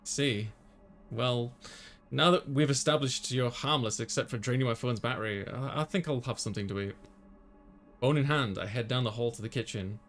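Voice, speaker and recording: A man's voice: mid-range voice, moderate pace, a bit bright. A man hosting a gaming video, reading visual novel text aloud and adding casual asides.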